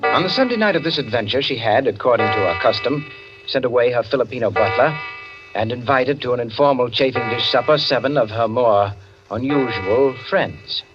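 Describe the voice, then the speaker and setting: A man giving literary scripted narration for radio theatre, with measured, deliberate phrasing. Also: medium pitch. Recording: dull band, clear music behind. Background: music.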